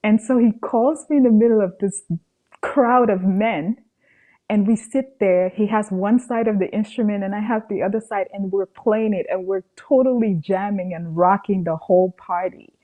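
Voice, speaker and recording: A woman's voice: mid-range voice, moderate pace, warm tone, rough recording. A woman from Cameroon, a podcast guest, telling a personal story in a conversational way and acting out dialogue as she goes.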